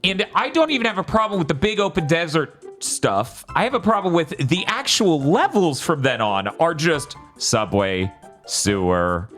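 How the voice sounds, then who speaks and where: A man's voice: on the high side, big pitch swings, normal speed, a bit bright, faint music behind. A man hosting a casual podcast chat about games and films, joking and riffing with mock dialogue. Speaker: podcast host.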